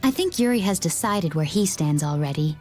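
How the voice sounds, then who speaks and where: An actress playing a composed young woman in a video game, speaking in a calm, matter-of-fact way. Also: on the low side, brisk, soft background music, lightly acted.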